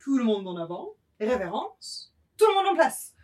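A woman's voice: on the high side, sing-song, moderate pace, a little mellow. A woman playing a flamboyant, pompous male dancing master in a literary table reading, affected and declaiming, with bits of French. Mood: preening.

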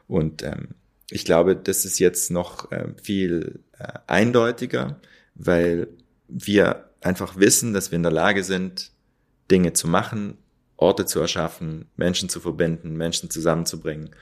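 A man's voice: low voice, measured pace. A man who founded a hotel, talking reflectively as an interview guest, searching for words with many fillers.

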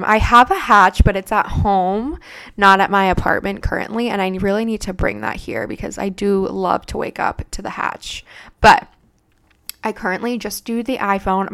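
A woman's voice: mid-range voice, a bit bright, clean tone. Chatty young woman with a head cold. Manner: chatty, rambling, frequent fillers and "like". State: congested with a cold. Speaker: podcast host. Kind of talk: casual unscripted solo podcast chat.

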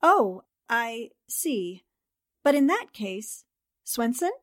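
A woman playing a sharp, commanding newspaper columnist who questions briskly in a 1940s-style radio drama. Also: fairly high pitch, sing-song, exaggerated voice. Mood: probing.

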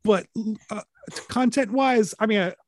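A man being interviewed, telling stories in a relaxed, rambling way with many fillers, restarts and casual swearing. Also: high voice, measured pace. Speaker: interview guest, recording artist. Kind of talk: relaxed conversational storytelling.

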